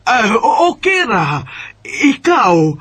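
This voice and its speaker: Woman playing nosy disapproving bisaya mom in a radio drama, scratchy forceful voice, slow and dramatic. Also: on the high side, sing-song, breathy edge, full character voice. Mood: gushing. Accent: Filipino, Bisaya. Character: disapproving, meddling mother of a grown son. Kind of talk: amateur radio drama acting.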